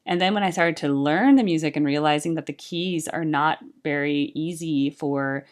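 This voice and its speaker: Chatty woman with a low speaking voice. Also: normal speed. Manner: chatty, lots of "like" and "right?", earnest. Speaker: YouTube music reactor and tribute band singer. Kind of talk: casual talking-head chat about music.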